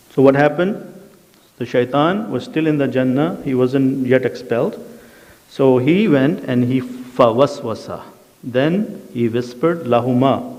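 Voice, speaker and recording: A man's voice: medium pitch, darkish tone. A man with an Urdu accent teaching a Quran class, reciting Arabic verses and translating them line by line, often checking in with his students. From South Asia. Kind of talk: classroom lesson explaining verses. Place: mosque education centre classroom. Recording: dull sound, long hall reverb.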